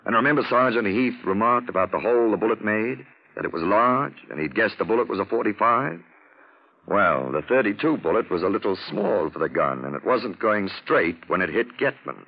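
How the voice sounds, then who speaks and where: A suave gentleman detective in an old 1940s radio drama, on a dull vintage recording. His low voice swoops expressively as he lays things out with urbane, witty self-satisfaction. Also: lively intonation, brisk, breathy edge. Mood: smug, explaining. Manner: urbane, theatrical, drawling explanations in lettered points.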